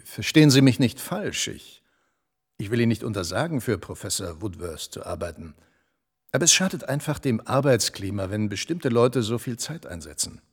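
An actor playing a smooth, condescending senior EU official lecturing a junior. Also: male voice, fairly low pitch, very animated, normal speed, full character voice. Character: smooth, scheming male EU director-general. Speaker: boss. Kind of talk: TV drama acting.

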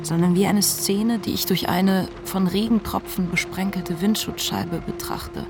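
A woman playing the introspective inner voice of a manic writer in a radio drama, telling memories in a measured way. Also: mid-range voice, fairly even intonation, over music, close to an everyday voice. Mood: reflective, uneasy. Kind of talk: literary radio drama narration.

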